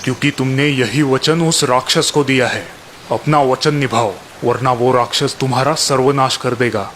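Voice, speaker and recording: A man playing a sultan's pragmatic, hard-hearted uncle and adviser in an animated fairy tale, assured and persuasive. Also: medium pitch, normal speed, slight background noise, lightly acted. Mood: stern. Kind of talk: Hindi children's animated fairy tale acting.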